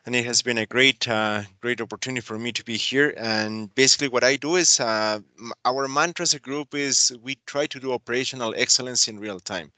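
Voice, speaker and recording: Peruvian-accented engineer, earnest and halting; bright voice, dry close mic. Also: male voice, medium pitch, no echo. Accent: Peruvian Spanish. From Peru. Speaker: process control engineer. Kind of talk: webinar self-introduction.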